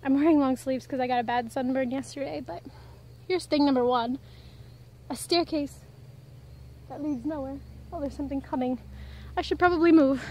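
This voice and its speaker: A woman's voice: high-pitched, moderate pace, pauses often, a little mellow. A woman in her twenties chatting casually to camera in a rambling, informal way, recorded on a handheld phone outdoors. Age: 20s. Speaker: vlogger. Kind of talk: casual vlog chat to camera. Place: outdoors on a rural roadside. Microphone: handheld phone at arm's length. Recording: noisy outdoor audio with ambience and traffic.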